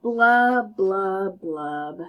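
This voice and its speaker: A woman's voice: mid-range voice, expressive, fairly bright tone, voice pushed down. A woman voicing a glum, pouting male fish in a storybook, with a mournful, rhyming way of talking. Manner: mournful, rhyming, drawn-out blubbing refrain. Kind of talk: storybook read-aloud character voice.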